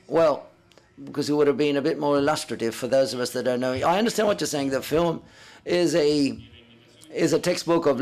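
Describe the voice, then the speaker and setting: Gravelly-voiced male interpreter, halting delivery. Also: mid-range voice. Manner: halting, self-correcting, renders others' words in first person. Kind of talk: live interpretation at a press conference.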